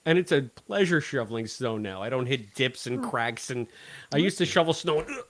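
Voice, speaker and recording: A man's voice: medium pitch, sing-song, moderate pace, crowd noise. A middle-aged American man co-hosting a podcast in casual, dry, joking conversation. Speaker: podcast co-host. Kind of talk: casual banter about a show.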